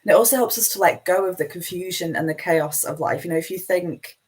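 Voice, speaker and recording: A woman with a broad Manchester accent and a low, deep-set voice hosts a live spiritual talk. She meanders through her points in a chatty, self-deprecating way. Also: fairly quick, darkish tone, husky. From Manchester, England. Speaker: spiritual teacher hosting a live session.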